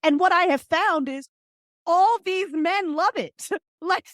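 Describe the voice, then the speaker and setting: A woman's voice: very high voice, takes it slowly, a bit bright, no echo, studio quality. A woman chatting casually as an interview guest, effusive and emphatic, with slangy, repetitive turns of phrase. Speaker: guest, fiction podcast writer and creator. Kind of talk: animated casual interview chat.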